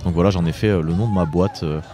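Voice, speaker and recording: Low-voiced young man, hesitant interview guest. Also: fast, mellow. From Drôme, southeastern France. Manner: hesitant, searching for words, repeating phrase starts. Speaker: interview guest, author and entrepreneur. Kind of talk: conversational interview answers.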